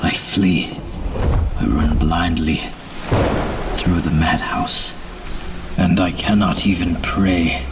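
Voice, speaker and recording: Under loud music, a very deep voice broods as a male actor plays him. He is a haunted, grim madman of gothic audio drama. His delivery is theatrical and very slow, sinking into hushed whispers. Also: far from the mic. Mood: haunted, despairing.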